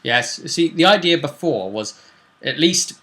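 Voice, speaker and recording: A man's voice: on the high side, expressive, unhurried, a bit bright. A man casually recounting a tabletop role-playing session as its game master.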